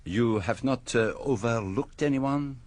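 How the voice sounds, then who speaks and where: A man's voice: mid-range voice, a little slow, dry recording, close to an everyday voice. An actor playing a courteous, shrewd foreign detective with a French accent, politely hesitating over his English in a radio drama. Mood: sly, amused.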